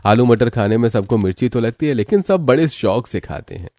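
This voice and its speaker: A man's voice: medium pitch, expressive, a little fast, darkish tone, no echo. A man narrating a scripted family cartoon story. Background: background music.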